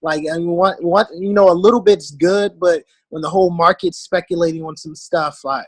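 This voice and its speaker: A man giving informal advice as a member on a group call, halting as he searches for words. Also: sits high, fairly even intonation, dry recording. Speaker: club member on a conference call. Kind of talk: informal advice, casual talk.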